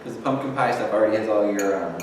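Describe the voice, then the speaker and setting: A man's voice: on the low side, fast, a little hiss or hum. A young man, a librarian, casually walking through a recipe step by step in a kitchen, with lots of fillers. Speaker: teen librarian. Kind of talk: cooking demonstration. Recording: echoey kitchen, far mic, clattering dishes.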